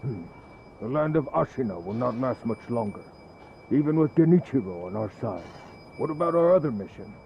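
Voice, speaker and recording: An actor playing a grim, brisk, wary warrior scout in a video game cutscene. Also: male voice, medium pitch, lively intonation, vocal fry, darkish tone, slight background noise, low-quality recording.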